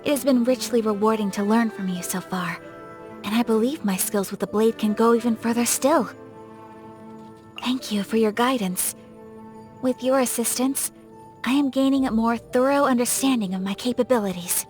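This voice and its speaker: A woman plays a young noble lady of a samurai clan in a video game. Her voice sits fairly high, and she speaks with formal, courteous poise and a reserved temper. Faint music plays behind her lines. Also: normal speed, a little mellow, lightly acted. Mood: warm, gracious. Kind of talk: scripted game character voice lines.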